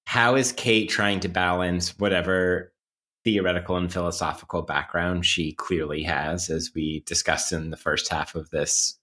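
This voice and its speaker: A man's voice: sits low, steady tone, normal speed, sharp tone. A man hosting a casual, intellectual podcast interview, with academic vocabulary and a playfully mock-formal manner. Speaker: podcast host.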